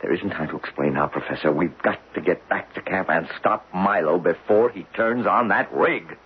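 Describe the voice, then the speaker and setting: Man acting deep-voiced, intensely urgent geologist. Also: normal speed, close to an everyday voice. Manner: intense, theatrical, emphatic. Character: earnest, urgent male geologist, alarmed by his discovery. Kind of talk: radio drama acting. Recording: dull, noisy vintage radio recording.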